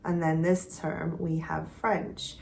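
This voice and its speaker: A woman in her thirties from Quebec, a homeschooling mother giving a casual, gentle update that sometimes rambles while she searches for words. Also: deep voice, flat delivery, slow, gravelly, a little hiss or hum. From Quebec, Canada.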